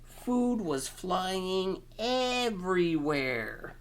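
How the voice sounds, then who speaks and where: A man's voice: high voice, very animated, extremely slow. A man reading a children's picture book aloud in a playful, exclamatory manner with dramatic emphasis. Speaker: storytime reader.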